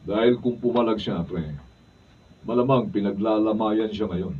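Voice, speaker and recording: A man's voice: fairly low pitch, steady tone, moderate pace, a little hiss or hum, close to an everyday voice. A man with a Filipino accent playing an arrogant, hot-headed policeman and family man who picks fights, in a radio drama. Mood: boastful. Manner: boastful, confrontational. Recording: muffled, distant behind-the-scenes studio capture.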